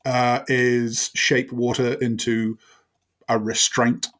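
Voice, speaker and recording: A man's voice: mid-range voice, level tone, takes it slowly, fairly bright tone. Wry New man at the gaming table. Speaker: tabletop RPG player. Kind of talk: casual improvised game chat.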